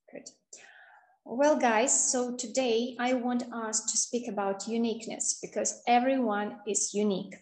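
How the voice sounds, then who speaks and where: Slow-talking woman from Kazakhstan on a webinar. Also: on the high side, a little mellow, roomy sound, rough recording. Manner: slow, hesitant, with frequent fillers. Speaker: webinar presenter. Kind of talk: online webinar presentation. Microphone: video call.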